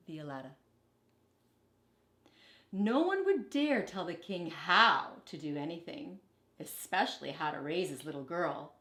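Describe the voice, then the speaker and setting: A woman teacher reading a children's storybook aloud in an expressive, deliberate way, with brief teaching asides. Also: medium pitch. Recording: echoey, reverberant room.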